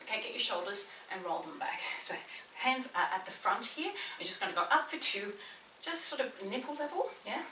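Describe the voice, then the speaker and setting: A woman's voice: medium pitch, animated, moderate pace, bright, forceful tone, slight background noise. A woman personal trainer with a slight New Zealand accent, chattily coaching a beginner workout in a small indoor room. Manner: chatty, encouraging, talks a lot. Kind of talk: guided workout instruction. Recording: roomy echo, distant mic, faint ambience.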